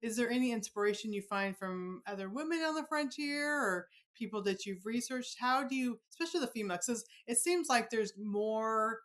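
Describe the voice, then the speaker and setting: A woman's voice: fairly high pitch, animated, normal speed, hardly any pauses, fairly bright tone. A woman hosting a podcast, asking questions in a casual, conversational interview style.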